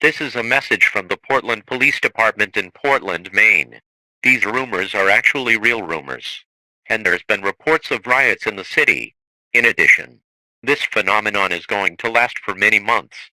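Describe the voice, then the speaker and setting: A man's voice: fairly low pitch, moderate pace, sharp tone, no room sound, low-quality recording. A man reading a scripted emergency alert announcement in a formal, official manner.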